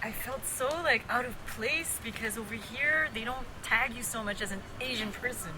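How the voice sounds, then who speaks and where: A woman's voice: a little high, normal speed, over music, low-quality recording. A young woman chatting bluntly and jokingly in a street interview. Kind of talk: casual street chat. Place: outdoors on a city street. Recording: street traffic noise.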